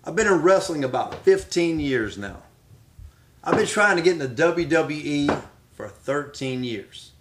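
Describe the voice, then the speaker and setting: A middle-aged American man with a somewhat high voice. He talks like a wrestler promoting himself, hammering phrases home with dramatic repetition. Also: lively intonation, a little slow, noisy background. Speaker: professional wrestler. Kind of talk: promotional speech answering questions.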